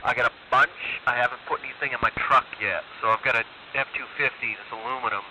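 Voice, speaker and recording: A man's voice: mid-range voice, fairly even intonation, quick pace. A man in a laid-back, colloquial casual chat, heard over a noisy CB radio transmission with hiss. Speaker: CB radio caller. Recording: hissy, noisy CB radio signal.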